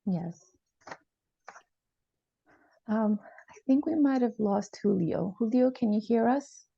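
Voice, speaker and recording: A woman plays a calm female therapist with a creaky, mellow voice. She checks in gently and hesitantly, as in improvised role-play. The video-call sound is roomy. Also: fairly high pitch, slow, heavily acted. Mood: attentive, supportive. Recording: slightly dull, roomy video-call audio.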